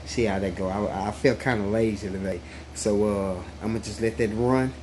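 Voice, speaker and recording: A man's voice: on the low side, steady tone, normal speed, darkish tone, a little hiss or hum. A man in his thirties filming himself on a phone in a small home kitchen, talking in a laid-back, slangy way as he shows a recipe. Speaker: cooking channel host. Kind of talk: casual home cooking vlog. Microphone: phone camera held at arm's length.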